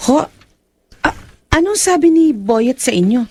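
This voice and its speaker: A woman's voice: high voice, very animated, unhurried, full character voice. An actress, a woman, playing a caring, worried young daughter who speaks respectfully and inquiringly. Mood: puzzled.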